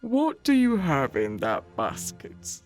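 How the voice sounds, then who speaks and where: A woman voicing a sly, menacing wolf in a children's audio fairy tale, gravelly and coaxing. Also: lowish voice, huge pitch swings, slow pace, a little mellow, faint music behind, full character voice.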